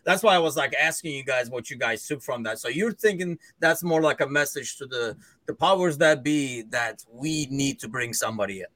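A man hosting a casual sports chat who thinks out loud and keeps handing questions to his co-hosts. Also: medium pitch, a little fast, hardly any pauses, no echo, poor audio. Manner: inquisitive, loose, thinks aloud with fillers. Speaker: podcast host.

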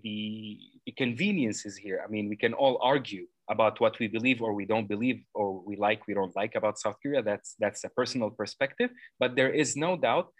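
A man in his thirties from Lebanon, answering interview questions earnestly and at length, with many fillers and quick self-corrections. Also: on the low side, no room sound. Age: 30s. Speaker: program founder interviewed as guest.

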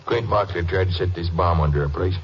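An actor playing a nervous, insistent, resentful rural husband in a 1950s radio drama, with a Southern American accent and halting folksy speech. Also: male voice, low-pitched, lightly acted. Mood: uneasy, insistent. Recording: muffled, slightly noisy old radio recording.

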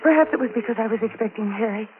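A woman's voice: on the high side, very fast, lightly acted. An actress playing an anxious wife and mother in her twenties, narrating a 1940s radio suspense drama with breathless, dramatic tension. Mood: uneasy, hushed. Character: anxious 27-year-old wife and mother, alone at night. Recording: noisy muffled 1940s radio recording.

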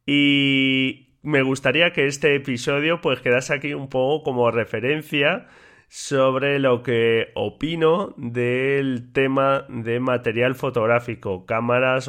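A man hosting a solo podcast in a relaxed, chatty way with many fillers, his voice slightly stuffy and congested from a cold. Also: medium pitch, slow. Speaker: podcast host. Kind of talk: casual solo podcast talk.

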